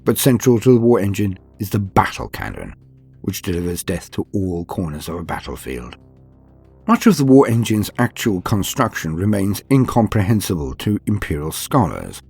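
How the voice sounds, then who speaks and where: Low, husky male dark-fantasy narrator. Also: sing-song, normal speed. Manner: grave, formal, ominous storytelling. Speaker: narrator of a fantasy war-game lore guide. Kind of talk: scripted dramatic lore narration. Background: music.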